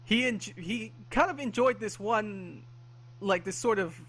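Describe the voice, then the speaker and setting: A man's voice: high voice, measured pace, fairly bright tone, husky. A man co-hosting a podcast in casual chat, hesitant, full of fillers and self-corrections. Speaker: podcast co-host.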